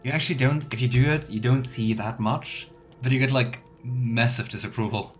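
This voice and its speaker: A mid-pitched man talks his way through a video game as a let's player. He is chatty and playful, drifting into rambling asides, with faint music underneath. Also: steady tone, moderate pace. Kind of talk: casual game commentary.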